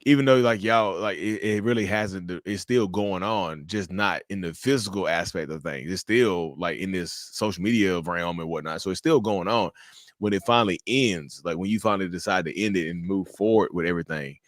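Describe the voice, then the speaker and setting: A man's voice: deep voice, normal speed, no echo, studio quality. A man co-hosting a live stream, advising callers in a casual, stumbling way that repeats words and uses "y'all". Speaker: co-host.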